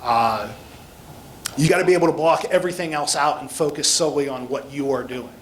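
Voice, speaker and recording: A man's voice: fairly high pitch, a little fast, slight background noise. American man giving an informal explanatory talk. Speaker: guest speaker, air traffic control instructor. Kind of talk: informal public talk with audience questions.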